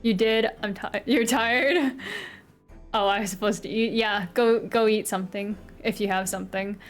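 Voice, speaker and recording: A woman's voice: medium pitch, fairly even intonation, normal speed. An American woman streaming live, chatting casually and giggly with her viewers between reading game lines. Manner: chatty, giggly, talks to chat. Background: faint pop music playlist.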